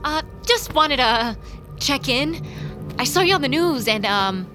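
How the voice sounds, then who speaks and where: An actress in an audio drama playing an anxious, impulsive young woman, agitated and halting, as if muttering to herself. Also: high voice, animated, over music. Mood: nervous concern. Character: anxious, impulsive young woman determined to stop a former friend. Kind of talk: audio drama acting with inner monologue.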